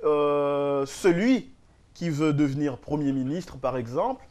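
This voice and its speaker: A man's voice: fairly high pitch, measured pace, a little mellow. A man hosting a radio interview, prompting his guest and finishing his sentences. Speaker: radio talk show host.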